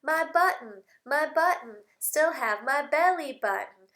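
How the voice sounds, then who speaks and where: A woman teacher doing an animated read-aloud for young children, with exaggerated emphasis, simple explanations, questions and bits of singing. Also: high voice, unhurried. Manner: simple explanatory phrasing with repeated words. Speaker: speech-language teacher reading aloud.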